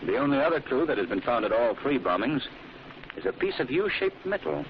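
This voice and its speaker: Grave amateur sleuth, dramatic, animated pitch, old radio drama, faint hiss. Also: male voice, a little fast, gravelly. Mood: pondering, intent. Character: grave, thoughtful gentleman amateur detective. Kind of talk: 1940s radio acting. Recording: muffled old radio recording, faint noise.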